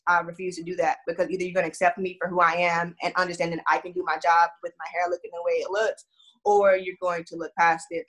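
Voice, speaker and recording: A woman's voice: fairly low pitch, a bit bright, rough recording. A woman in her twenties from Houston with a Texan accent, speaking firmly and outspokenly in a casual group discussion. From Houston, Texas. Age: 20s. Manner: firm, outspoken. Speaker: discussion participant.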